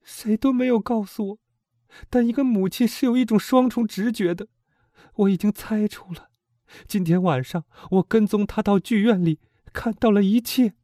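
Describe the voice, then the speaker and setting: A man voicing a desperate middle-aged noble mother, pleading in a strained, high falsetto. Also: normal speed, mellow, dry recording, studio quality, lightly acted.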